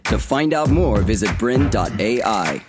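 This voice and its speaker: A man's voice: fairly low pitch, big pitch swings, a little slow. A man reading a scripted advertising voice-over as an announcer. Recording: loud music under the voice. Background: loud hip hop music.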